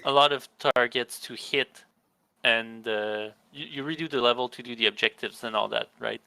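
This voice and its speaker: A man's voice: mid-range voice, normal speed, creaky, bright, forceful tone, clear, resonant tone, no room sound, poor audio. A young man hosting a gaming podcast, describing games in a rambling, casual way with many restarts. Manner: rambling, restarts mid-sentence. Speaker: podcast host. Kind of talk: casual chat.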